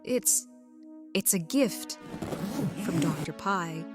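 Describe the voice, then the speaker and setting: An actress playing a frightened, desperate, broken henchwoman in an audio drama, stammering and halting in anguish. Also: on the low side, lively intonation. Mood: shaken. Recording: music underneath.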